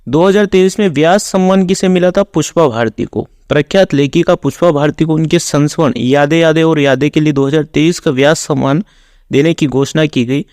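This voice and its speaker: Higher-pitched man teaching exam questions. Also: a little fast, a little mellow, dry recording, studio quality. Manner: instructional, reading out facts in a set routine. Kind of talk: reading quiz questions with explanations.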